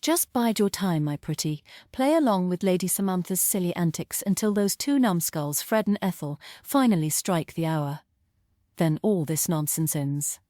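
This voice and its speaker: A sly, imperious villainess for a stage play, acted out by a woman. Her delivery is grand and menacing, with a lively, swooping pitch and a dry, close sound. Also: normal speed, no room sound, natural voice. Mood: ominous. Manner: grand, dramatic. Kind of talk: theatrical play table read.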